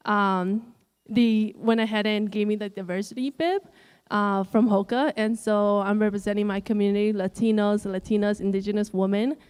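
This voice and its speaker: A woman's voice: mid-range voice, steady tone, normal speed, darkish tone, smooth. A woman runner, a guest in a live interview, telling her own story in a casual way. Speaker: guest, ultrarunner. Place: live event stage.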